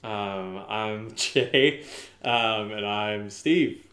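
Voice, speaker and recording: A man co-hosting a podcast, telling a story casually in a hesitant, halting way. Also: lowish voice, a bit bright. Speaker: podcast co-host. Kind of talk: casual chat.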